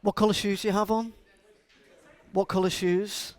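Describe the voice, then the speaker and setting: Middle-aged male agile coach with a Scouse accent, slightly higher voice, dry close sound, chatty and playful with the room. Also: level tone, moderate pace, no echo. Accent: Scouse (Liverpool). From Liverpool, England. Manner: chatty, jokey, asks the audience questions. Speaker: conference speaker and agile coach. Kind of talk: live conference talk to a room.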